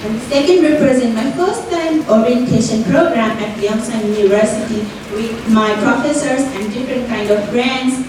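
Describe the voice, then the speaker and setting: Young Nepali-accented woman, fairly high voice, earnest student speech, picked up from a distance in a very echoey hall. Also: a little mellow, slight background noise. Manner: earnest, fills with "like" and "you know". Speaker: student speaker. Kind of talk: prepared stage speech. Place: university event hall. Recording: roomy hall audio from far off.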